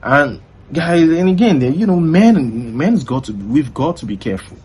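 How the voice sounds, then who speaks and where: Nigerian male vlog host, lively pitch, chatty, laughs easily. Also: moderate pace, slight background noise. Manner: chatty, laughs often, addresses viewers as guys. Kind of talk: casual commentary vlog.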